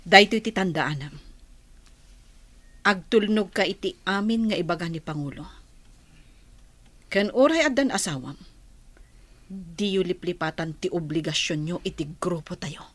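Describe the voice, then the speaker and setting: A woman's voice: fairly low pitch, lively intonation, a little slow, long pauses, fairly bright tone, no echo. A woman with an Ilocano accent playing a grave, cautioning older-sister rebel in a radio drama, earnest and admonishing.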